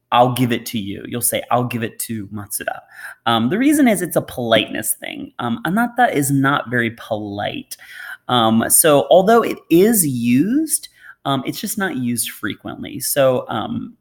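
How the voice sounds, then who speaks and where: A man who is a native English speaker gives an informal, unscripted pronunciation lesson, repeating words and adding explanatory asides. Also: mid-range voice. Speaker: language teacher.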